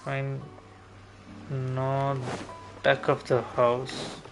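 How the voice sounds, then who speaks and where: A man's voice: medium pitch, monotone, extremely slow. A man streaming a game, thinking aloud in a casual, hesitant way as he talks through his route. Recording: game music behind voice. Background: video game music.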